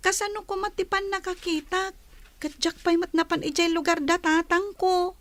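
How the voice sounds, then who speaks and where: A very high, small girlish voice acted by a woman, recorded close and dry. She is a homesick village schoolgirl in a radio drama, speaking in a plaintive, pleading way. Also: steady tone, moderate pace, a bit bright, no echo. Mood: earnest. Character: wistful homesick young granddaughter, a village schoolgirl. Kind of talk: Ilocano radio drama acting.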